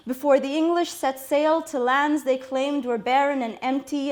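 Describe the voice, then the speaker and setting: A woman performs a spoken word poem in a high-pitched voice. Her delivery is impassioned and rhythmic. She leans hard on single words and lets the lines build.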